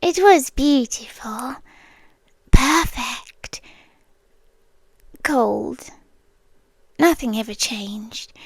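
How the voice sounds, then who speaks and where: A possessive, devoted guardian angel, played by a British woman, whispers close into the mic in an ASMR roleplay. Her voice sits high and unhurried. The sound is dry, with no room around it. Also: expressive, slightly rough, no room sound, full character voice. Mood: cold, secretive. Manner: soft, intimate, often whispered.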